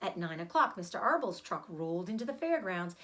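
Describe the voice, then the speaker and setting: A woman narrating a children's storybook aloud in an expressive, animated way, like a teacher reading to a class. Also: fairly low pitch, a little fast. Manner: animated, acts out dialogue.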